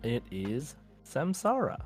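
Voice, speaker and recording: A man's voice: mid-range voice, huge pitch swings, takes it slowly, soft background music. A man co-hosting a podcast, chatting casually in a hesitant, rambling way. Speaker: podcast co-host. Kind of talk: casual chat.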